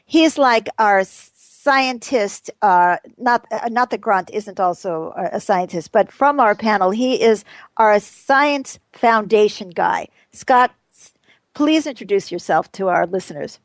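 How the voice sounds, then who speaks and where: A woman's voice: medium pitch, animated, measured pace, no room sound. A woman hosting a radio panel discussion, bubbly and emphatic, laughing often as she introduces her guests. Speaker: radio show host.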